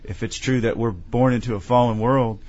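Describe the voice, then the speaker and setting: A man from Tennessee, an author being interviewed, telling his story in a measured, earnest way. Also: mid-range voice, fairly even intonation, a little mellow, dull audio. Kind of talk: conversational interview.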